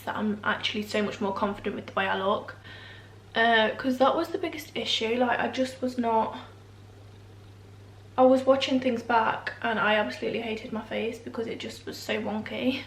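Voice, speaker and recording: A woman's voice: a little high, fairly bright tone. A young woman, a beauty vlogger, chatting rambly and self-deprecating with lots of fillers, still a bit nasal from a cold. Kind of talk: casual chatty vlog. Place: room at home, indoors. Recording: echoey room.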